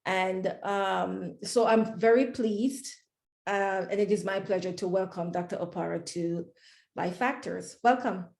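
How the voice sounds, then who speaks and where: A woman hosting an interview, introducing her guest in a halting way with many fillers. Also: mid-range voice, gravelly, darkish tone, echoey room. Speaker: host.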